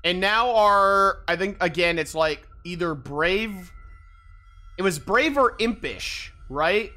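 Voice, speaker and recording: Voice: mid-range voice, slow pace. A streamer giving casual, playful commentary on a video game, reading its text aloud and muttering along. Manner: animated, mutters to self, playful exclamations. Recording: game music behind the voice. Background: video game music.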